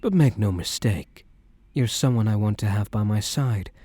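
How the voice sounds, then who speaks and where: A soft, dark-toned young crime boss acted by a man, talking tenderly to a partner in a boyfriend roleplay. His delivery is measured and protective, recorded very dry and intimate. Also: lowish voice, breathy edge, no room sound. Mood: earnest, regretful. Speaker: roleplay voice performer. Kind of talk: boyfriend ASMR audio roleplay.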